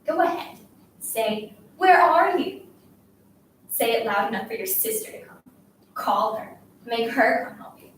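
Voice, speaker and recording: A woman plays a bullying schoolgirl in a dramatic story, scolding and demanding with desperate, scornful force. The voice is high. It sounds distant from the mic in a very echoey room. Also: expressive, moderate pace, slight background noise, lightly acted. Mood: goading. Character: bullying schoolgirl, taunting, desperate, commanding a silent classmate. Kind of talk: dramatic literary reading.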